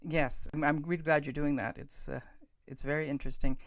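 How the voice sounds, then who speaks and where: A woman's voice: deep voice, fairly even intonation, brisk, dry recording, studio quality. A middle-aged woman hosting a casual interview, informal, encouraging and enthusiastic in how she talks. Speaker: podcast host and interviewer.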